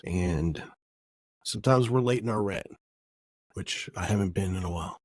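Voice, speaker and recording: A man's voice: low-pitched, normal speed, no room sound, clean studio sound. A middle-aged man with a cold and a nasal voice, talking through a casual, reflective podcast monologue that rambles and corrects itself as he goes. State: has a cold. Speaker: podcast host.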